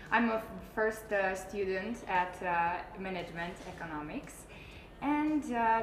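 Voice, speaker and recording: A woman's voice: medium pitch, fairly bright tone. A woman in her late teens with a Romanian accent, casually introducing herself as a pageant contestant. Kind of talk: casual self-introduction on a live stream. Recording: echoey room with faint noise.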